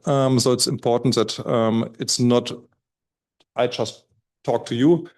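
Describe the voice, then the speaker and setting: A man with a German accent, leading a live workshop and speaking loosely with many pauses and fillers. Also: mid-range voice, fairly even intonation. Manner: loose, thinking aloud with fillers. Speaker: workshop course leader. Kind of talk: relaxed spoken introduction to a live workshop. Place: conference room.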